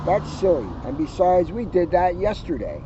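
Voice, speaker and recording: Dismissive little brother bear, read by a man, high register, storybook read-aloud, vehicle noise behind. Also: very animated, moderate pace, dark tone. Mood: stubborn, dismissive. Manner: contrary, matter-of-fact. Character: young brother bear cub, dismissive and stubborn. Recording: muffled, outdoors with vehicle noise.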